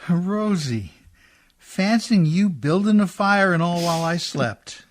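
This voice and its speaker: A man's voice: high voice, lively intonation, slow pace. An actor in a radio play playing a humble, plucky riverboat man with folksy, rustic diction, deferential and plain-spoken. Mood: tender, hearty.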